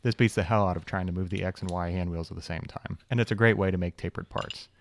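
A man's voice: deep voice, steady tone, quick pace, creaky. A young man narrating a scripted shop-tour voice-over, explaining machines with dry, wry humor. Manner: dry, wry humor with self-deprecating asides. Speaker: channel host, machinist.

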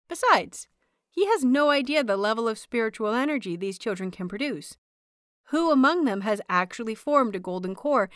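A woman reading a scripted story aloud as an expressive audiobook narrator. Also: mid-range voice, no echo, clean studio sound.

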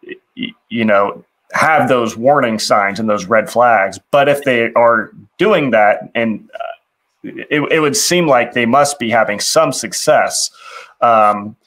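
A man's voice: medium pitch, slow pace, a bit bright. A man in his thirties hosting an interview over a video call, responding supportively and thinking aloud with fillers. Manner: supportive, thoughtful, with frequent fillers. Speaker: host, interviewer. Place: studio with dark backdrop.

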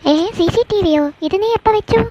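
A woman's voice: very high-pitched, normal speed, warm tone, muffled recording. A woman playing a scheming, whining mother-in-law in an amateur cartoon skit, dramatic and complaining. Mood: startled, defensive.

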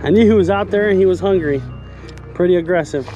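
A man in his thirties narrates his fly-fishing trip on an action camera at the edge of a lake, explaining each cast as he goes. His voice sits a little high, and it lifts with excitement whenever fish break the surface. Faint music plays underneath. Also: fast, dull audio. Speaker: fishing vlogger. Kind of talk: casual vlog narration. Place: outdoors by a lake.

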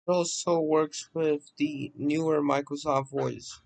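Guy doing a casual screen recording walkthrough, talks slow and halting, kinda higher voice. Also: fairly even intonation, gravelly, darkish tone, low-quality recording. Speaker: presenter of a software voice-pack demo.

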